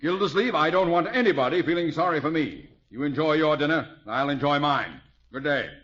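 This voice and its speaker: Haughty rich neighbor, sweeping intonation. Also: male voice, a little high, lively intonation, fairly quick, fairly bright tone. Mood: offended, haughty. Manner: formal, clipped, pompous. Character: haughty, stiff, easily offended rich male neighbor. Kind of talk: 1950s radio sitcom acting. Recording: muffled, noisy old radio recording.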